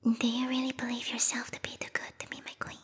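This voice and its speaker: Woman acting a breathy, haughty bat monster girl. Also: fairly high pitch, monotone, fast talker, long reverb tail. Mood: cold, menacing. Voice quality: breathy, whispery. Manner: formal, haughty, silky and menacingly polite. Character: yandere bat monster girl, devoted servant of vampire queen. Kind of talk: ASMR roleplay acting. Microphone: close binaural microphone.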